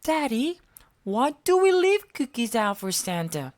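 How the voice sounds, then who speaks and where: Squeaky little childlike monster. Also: female voice, big pitch swings, unhurried, a little mellow. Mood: curious. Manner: speaks of self in third person. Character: little childlike monster, sweet, curious and polite.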